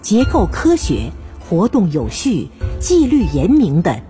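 A woman's voice: mid-range voice, huge pitch swings, slow pace, dark tone, soft background music. A woman delivering formal, solemn scripted narration for a historical radio drama.

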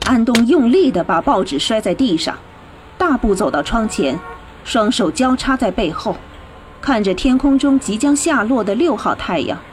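A woman narrating a scripted radio drama in a clearly phrased storytelling manner. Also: a little high, animated, normal speed. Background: music.

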